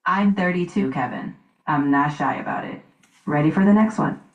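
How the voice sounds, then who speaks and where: A woman's voice: fairly low pitch, moderate pace, roomy sound, muffled recording, close to an everyday voice. A woman voicing a confident single woman in her thirties who speaks in a self-assured, upbeat way. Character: confident single woman, 32, dating show guest.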